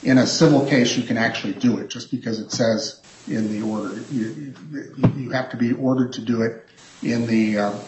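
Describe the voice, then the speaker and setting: A man arguing an appeal before justices, answering questions in halting sentences full of repeats and restarts. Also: medium pitch, steady tone, a little mellow, slight background noise. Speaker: appellant's attorney. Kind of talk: appellate oral argument. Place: courtroom. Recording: roomy courtroom echo.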